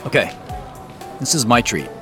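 A man's voice: medium pitch, big pitch swings, moderate pace, over music, close to an everyday voice. An actor playing a casual, self-deprecating man under questioning in an audio drama, speaking informally and a little guardedly. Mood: casual, wry. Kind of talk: naturalistic audio drama dialogue.

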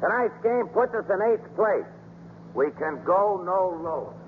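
An American actor playing an abusive, sarcastic baseball team manager in a 1950s radio drama, blustering and sneering. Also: male voice, high-pitched, expressive, measured pace. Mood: sneering sarcasm. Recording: noisy, tinny old radio broadcast.

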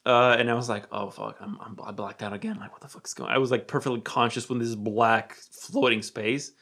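A young man from Romania speaking with an American accent, his voice sitting at an ordinary middle pitch. He tells a story in loose, casual chat, swearing freely and sinking to a low murmur whenever he quotes his own thoughts. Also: brisk, creaky. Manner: animated, swears freely, drops to a low murmur when quoting himself.

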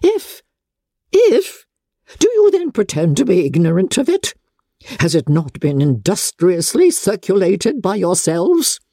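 A woman's voice: mid-range voice, huge pitch swings, a little slow, dark tone, dry recording, clean studio sound, pitched above the performer's own voice, full character voice. A woman voicing a haughty older aristocratic lady in a storybook reading, domineering and indignant, with pompous, commanding emphasis. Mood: indignant, angry.

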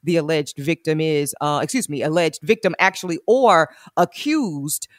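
A woman attorney hosting a podcast, chatting frankly and with slang, laughing often, with a big booming voice. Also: normal speed, dry recording, clean studio sound. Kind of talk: casual podcast chat.

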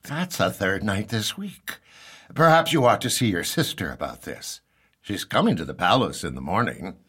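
An actor playing a gentle, concerned husband with a husky voice in an audio drama. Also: male voice, on the low side, big pitch swings, moderate pace, exaggerated voice. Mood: weary, concerned. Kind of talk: comedic audio drama acting.

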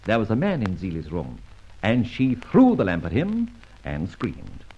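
Dull old radio recording of a breezy gentleman adventurer. His pitch leaps about with great animation as he teases and banters in flippant, theatrical style. The voice has a husky grain and plenty of mischief. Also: huge pitch swings, measured pace, full character voice. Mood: brisk, bantering. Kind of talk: mid-century radio drama acting.

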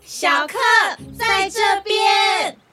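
Tiny squeaky dandelion girls, bright and slow. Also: soft background music, heavily acted. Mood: playful. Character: three tiny cheerful dandelion sisters.

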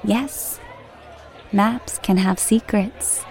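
A woman's voice: medium pitch, steady tone, extremely slow, crowd noise. A woman playing a cryptic, guarded character in an audio drama trailer.

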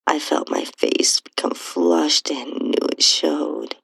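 A woman narrating an intimate first-person erotic story in a breathy, hushed, sultry voice. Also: low voice, takes it slowly, dry recording. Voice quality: breathy, whispery, husky. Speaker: podcast host and narrator reading own story.

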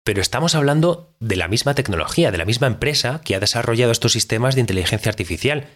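A man's voice: mid-range voice, animated, quick pace, fairly bright tone. A man playing a direct, insistent radio interviewer in a scripted audio drama, speaking. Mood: pressing. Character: direct, probing male radio interviewer with no-nonsense questions. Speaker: podcast host interviewing an astronaut. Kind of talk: scripted fictional interview show.

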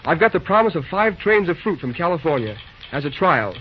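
An actor playing a brisk, plainspoken young acting railroad superintendent in a 1930s radio drama. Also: male voice, a little high, big pitch swings. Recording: dull old radio recording with faint hiss.

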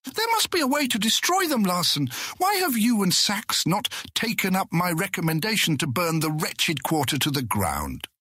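An actor playing an aggressive, excitable male guildmaster in a fantasy audiobook, with a theatrical, indignant and emphatic delivery. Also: sits high, moderate pace, few pauses, slightly rough, no room sound, clean studio sound, exaggerated voice. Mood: enraged. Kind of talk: full-cast fantasy audiobook acting.

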